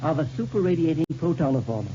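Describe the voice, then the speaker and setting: A man's voice: fairly high pitch, lively intonation, quick pace, lightly acted. An actor playing an eager, self-pleased male scientist in vintage radio serial style, with a fussy, hesitant, explanatory manner. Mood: earnest, explaining. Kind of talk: 1930s-40s radio serial acting. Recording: muffled, very noisy, humming vintage radio recording.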